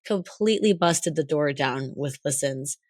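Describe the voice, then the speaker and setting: Hoarse low-voiced woman podcaster. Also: moderate pace, darkish tone, no echo, studio quality. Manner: chatty, laughs often, rambling restarts. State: hoarse, recovering lost voice. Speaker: podcast co-host. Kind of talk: casual chat.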